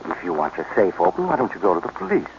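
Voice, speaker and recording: A man's voice: deep voice, wildly swooping pitch, racing, creaky, heavily acted. A hapless, blustering police inspector, stammering through his lines in a voice that rises and falls a lot. The old broadcast sounds dull and carries a faint hiss. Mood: fumbling. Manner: hesitant, full of stammering uhs. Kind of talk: 1940s radio acting. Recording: dull, lightly hissy old radio broadcast.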